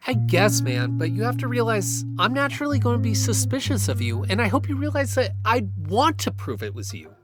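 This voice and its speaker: An actor playing a shaken, suspicious man in an audio drama, speaking haltingly and with exasperation. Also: high-pitched, huge pitch swings, over loud music. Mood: uneasy, weary. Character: Greg, shaken, suspicious man unnerved by strange events.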